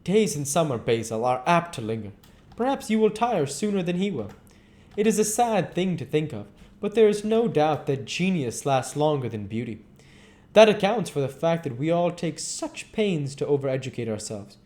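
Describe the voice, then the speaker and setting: A man voicing a witty, cynical gentleman aesthete in a storybook reading, pompous and teasing. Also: on the high side, sing-song, moderate pace. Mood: cynical, musing.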